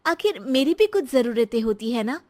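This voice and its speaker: A woman's voice: on the high side, sing-song, normal speed, darkish tone. A woman voicing a practical young wife with a pointed, complaining manner, in a cartoon story. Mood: reproachful. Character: neglected, practical young wife, nagging and reproachful.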